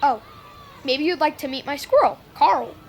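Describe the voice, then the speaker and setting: A woman plays an excitable, talkative preteen boy in a kids' audio drama. The voice is extremely high and swings up and down a lot, with breezy, informal delivery. Faint noise sits behind it. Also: normal speed. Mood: curious, eager. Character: outgoing, chatty, eager preteen boy at summer camp. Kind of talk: audio drama acting for children.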